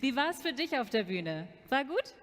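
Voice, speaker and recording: A woman's voice: high voice, big pitch swings, moderate pace, a little hiss or hum. A woman hosting a live song contest final, upbeat, switching between German and English. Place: large hall on stage. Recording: long hall reverb.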